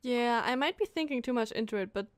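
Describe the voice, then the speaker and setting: A woman's voice: fairly high pitch, expressive, quick pace, smooth, no room sound. A woman streamer giving casual, chatty game commentary and reacting to the dialogue. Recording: faint game music behind.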